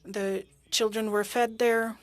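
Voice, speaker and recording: A woman interpreting witness testimony at a hearing into English, halting and pausing often with fillers. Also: fairly high pitch, level tone, no room sound. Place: interpreter booth at a parliamentary hearing.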